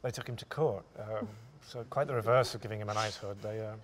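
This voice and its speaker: A man in his forties, a writer speaking thoughtfully and in halting, measured phrases on a discussion panel. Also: lowish voice, steady tone, slight background noise. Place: theatre stage, indoors.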